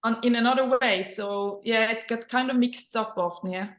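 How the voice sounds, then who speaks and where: A middle-aged woman whose native language is Norwegian, speaking English with a Norwegian accent in casual personal storytelling, sometimes halting as she searches for words. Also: fairly high pitch, a bit bright. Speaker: interview guest, company leader. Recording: roomy echo.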